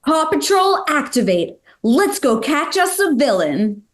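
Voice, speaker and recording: An eager boy team leader played by a woman with a fairly high, very lively voice. The delivery is earnest and animated, like a children's cartoon script read aloud. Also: a little slow. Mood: rallying.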